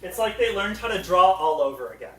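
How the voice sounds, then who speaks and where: A man's voice: high-pitched, animated, quick pace, sharp tone, a little hiss or hum. A man co-presenting a casual comedy panel to a live audience with mock-serious sarcasm, recorded at a distance in a roomy space. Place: convention panel room. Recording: roomy echo, picked up from a distance.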